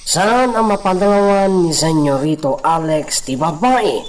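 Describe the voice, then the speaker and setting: A man's voice: fairly high pitch, a little slow, lightly acted. A man playing a humble hacienda servant in a radio drama, deferential and a little plaintive with his masters. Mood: hesitant, reporting. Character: Baldo, humble male hacienda servant, loyal but grumbling. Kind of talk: Ilocano radio drama acting.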